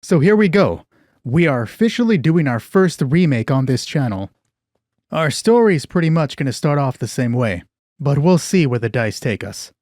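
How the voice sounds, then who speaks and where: A man narrating a scripted, dramatic horror story as host of a solo roleplay, with measured, deliberate phrasing. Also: a little high, big pitch swings, a little mellow, no room sound, studio quality.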